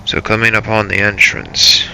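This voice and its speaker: A man narrating a game role-play as a first-person story, in halting, broken phrases. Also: lowish voice, level tone, creaky, pushed and bright, a little hiss or hum, rough recording.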